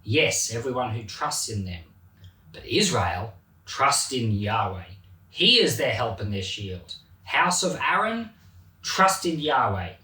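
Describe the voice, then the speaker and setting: A man, a pastor, reading a psalm and then explaining it in a chatty, animated way. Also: medium pitch, slow pace. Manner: animated, chatty, laughs at his own points. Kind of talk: Bible reading and informal teaching. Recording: roomy echo, mic at a distance.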